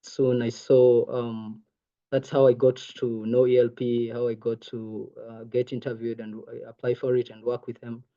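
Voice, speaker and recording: Young Kenyan man, Maasai first language, mellow voice, earnest presenter, dull call audio. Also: mid-range voice, little pitch movement, normal speed. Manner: earnest, many ums. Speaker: intern presenter. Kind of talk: online presentation. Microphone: video call. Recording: muffled video call audio.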